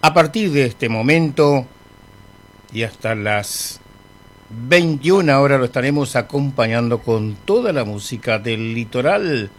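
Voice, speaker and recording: A man's voice: mid-range voice, expressive, a little slow. Chatty Argentine radio host, man. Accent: Argentine Spanish. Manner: chatty, rambling, friendly with humour. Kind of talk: casual radio presenting between songs. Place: radio studio.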